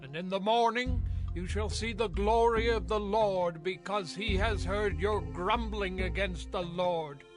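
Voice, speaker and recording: A man's voice: high voice, measured pace, few pauses, music in the background. An actor playing an indignant Hebrew leader, addressing his people earnestly and emphatically in a scripture audio drama.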